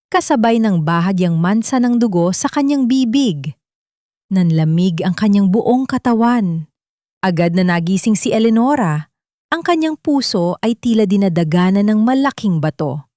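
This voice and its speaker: A woman tells a dramatic story as narrator, with a mid-pitched voice. Her delivery is measured, solemn and full of suspense, recorded very dry. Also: lively intonation, mellow, dry recording.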